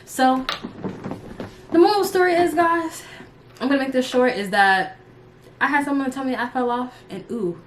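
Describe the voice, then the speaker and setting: A woman's voice: high-pitched, moderate pace, pauses often, slight background noise. A woman in her early twenties chatting casually and unscripted to her followers, candid and slangy, rambling as she goes. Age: early 20s. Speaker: YouTuber and live streamer.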